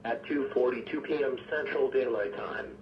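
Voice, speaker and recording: Male synthetic voice, formal weather alert reading, impersonal, distant, faint radio noise. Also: mid-range voice. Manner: impersonal, measured announcing. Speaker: automated weather radio announcer. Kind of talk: formal scripted emergency weather bulletin. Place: weather radio broadcast picked up on a receiver. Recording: muffled, noisy, echoey weather radio broadcast.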